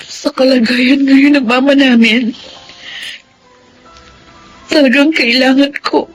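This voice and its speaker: Grieving filipina big sister in a radio drama, tearful n halting, earnest, music underneath. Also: female voice, high voice, fairly even intonation, poor audio. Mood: tearful pleading. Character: grieving eldest sister, bereaved mother, pleading and forgiving.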